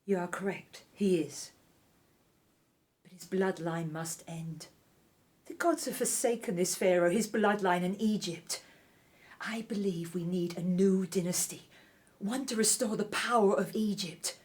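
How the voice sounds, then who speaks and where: A woman playing a ruthless, scheming royal wife of ancient Egypt in an audio drama, speaking in an imperious, deliberate, menacing way. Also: fairly low pitch, a little mellow, natural voice. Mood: cold menace.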